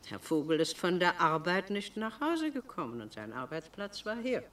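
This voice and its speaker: A bright-voiced, domineering old schoolteacher in a radio play. She is bitter and pedantic, with sweeping, theatrical pitch, heard slightly off the microphone. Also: sing-song, normal speed, distant mic. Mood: stern, reproachful. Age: older. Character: domineering, bitter elderly retired schoolteacher sister, disdainful of men. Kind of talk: German radio drama acting.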